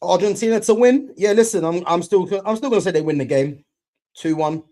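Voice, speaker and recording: A man's voice: high-pitched, brisk, warm tone. A young man from England chatting casually with viewers while watching football live, slangy and full of restarts. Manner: chatty, slangy, talks to chat, restarts often. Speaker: live watch-along streamer.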